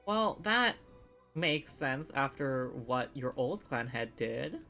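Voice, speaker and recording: Casting: female game streamer, low voice, unhurried but swinging intonation, playful casual reactions. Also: big pitch swings, bright, forceful tone, soft background music. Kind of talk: casual live game commentary, reading along and reacting.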